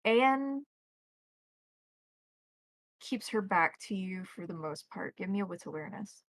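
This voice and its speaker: A woman narrating a tabletop roleplaying game as game master, describing scenes in deliberate detail. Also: mid-range voice, lively intonation, dry recording.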